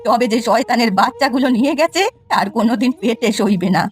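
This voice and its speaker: A woman's voice: on the high side, fast talker, breathy edge, no room sound. A woman voicing a bitter, suspicious old village granny in a cartoon folk tale, scolding and lamenting. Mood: wailing, bitter. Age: older. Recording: music behind the voice. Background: music.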